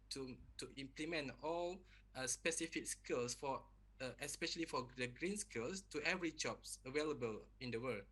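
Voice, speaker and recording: Male panelist, husky and bright, halting delivery full of fillers. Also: mid-range voice. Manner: halting, many fillers and repeated words. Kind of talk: panel discussion answer.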